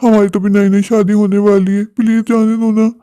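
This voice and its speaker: Man acting a young male lover, earnest, protective, insistent, soft mellow tone, dry recording, cartoon dialogue. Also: sits high, little pitch movement, normal speed, smooth, no room sound, pitched above the performer's own voice, natural voice. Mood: frightened pleading. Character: earnest young eloping bridegroom, protective, pleading.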